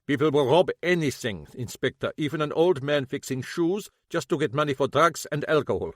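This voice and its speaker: A man voicing a gruff old German cobbler with broken English and a German accent. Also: on the high side, lively intonation, brisk, no echo, voice pushed up. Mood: defensive. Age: older. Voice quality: clipped broken English, prickly.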